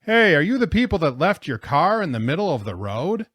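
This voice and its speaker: A man voicing a gruff, foul-mouthed small-town snowplow driver in an audiobook story. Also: a little high, big pitch swings, brisk, a bit bright, no echo, studio quality, pitched above the performer's own voice. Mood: loud, curious.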